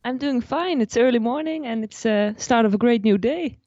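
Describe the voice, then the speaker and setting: A woman's voice: a little high, moderate pace, mellow, dry recording, lo-fi sound. A Dutch woman, a personal finance blogger, explaining her ideas at length as a guest in a podcast interview, with frequent restarts. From Netherlands.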